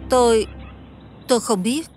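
A woman's voice: on the high side, a little slow, darkish tone, faint music behind, lightly acted. A woman playing a naive, earnest young alien wish-granter in dubbed fantasy film dialogue, blunt and innocently literal. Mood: earnest, concerned.

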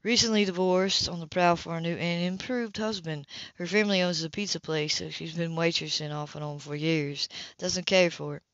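A woman voicing a smooth, flirtatious, confident man in an amateur audiobook reading. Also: lowish voice, fairly even intonation, moderate pace, a bit bright, no echo. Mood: flirtatious, assured. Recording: dull, lo-fi home recording.

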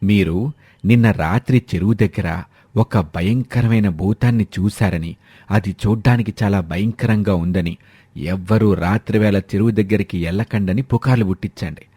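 A man voicing a shrewd village father-in-law in a cartoon moral story, instructing the family in a measured manner. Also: lowish voice, mellow, slightly rough, no room sound.

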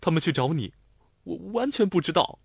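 Man doing a high pleading young woman. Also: big pitch swings, fairly quick, slightly rough, dry recording, studio quality, heavily acted. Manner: pleading, raised, near shouting. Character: young woman, rich man's daughter, lovesick and insistent. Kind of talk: audiobook character voice.

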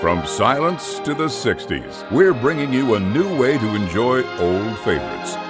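A man reading a channel promo voice-over in a smooth, inviting announcer manner. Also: medium pitch, sing-song, a little slow. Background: loud music.

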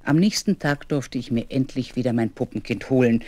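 A grown woman looks back on her childhood in a children's audio drama. Her voice is very low for a woman, and the sound is close and dry. She narrates in measured, scripted storybook prose. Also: darkish tone, dry recording. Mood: reminiscing. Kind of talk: scripted narration in a 1970s children's radio play.

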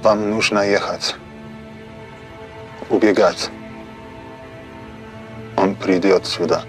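A young actor playing an earnest Polish geologist who speaks careful, halting Russian with a Polish accent. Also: male voice, deep voice, steady tone, faint music behind. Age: young adult. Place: sunny outdoor courtyard.

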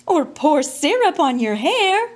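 A woman's voice: high-pitched, sing-song, slow pace, pitched above the performer's own voice, heavily acted. A woman voicing a doting mother coaxing her difficult young son in a children's storybook, sweetly.

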